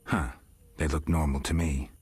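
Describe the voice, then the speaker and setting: An actor voicing a gruff, terse male monster hunter in a game, with a very husky voice and curt, flat delivery. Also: moderate pace, no echo, heavily acted. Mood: dry. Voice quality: very husky, low and dry. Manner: curt and flat, few words. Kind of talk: game dialogue.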